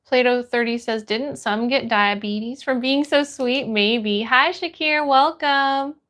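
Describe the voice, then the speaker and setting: A woman with a notably high voice and an American accent hosts a casual livestream. She talks in a friendly, encouraging way as she chats to viewers and reads their comments. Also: slow pace, a bit bright. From United States. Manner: friendly, encouraging, greets people by name. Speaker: livestream host. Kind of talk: casual livestream chat, greeting viewers and reading comments aloud.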